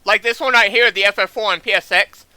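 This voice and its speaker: A man chatting casually as he streams a game, speaking haltingly and often repeating words as he restarts sentences. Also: high-pitched, sharp tone, dry recording, low-quality recording. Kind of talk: casual livestream chat over gameplay.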